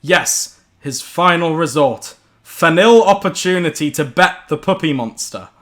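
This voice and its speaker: Giggly, bright, high-voiced young man telling stories. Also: animated, unhurried. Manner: giggly, stumbling over the words. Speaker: storyteller. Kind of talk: reading a silly comedic horror story to friends.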